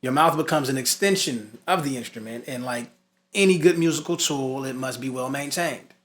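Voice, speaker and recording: A man's voice: mid-range voice, normal speed, a bit bright. A man giving an instructional lesson, moving between scripted points and casual, emphatic asides. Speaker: music instructor.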